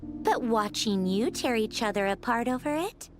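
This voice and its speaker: A woman's voice: on the high side, lively intonation, moderate pace, natural voice. An actress playing a haughty, sadistically amused female robot villain in a video game, theatrical, bored and playfully taunting. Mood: smug taunting.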